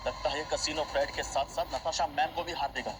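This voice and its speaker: A man voicing a hot-headed, protective young onlooker in an audio drama, speaking in rapid, heated outbursts. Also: fairly high pitch, fairly even intonation, background noise, natural voice. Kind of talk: Hindi audio drama acting. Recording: background music bed.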